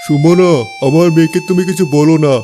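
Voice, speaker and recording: A man's voice: fairly high pitch, fairly even intonation, fairly quick, close to an everyday voice. A man voicing a doting, protective father in a cartoon. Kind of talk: Bengali cartoon dub. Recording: faint music behind the voice.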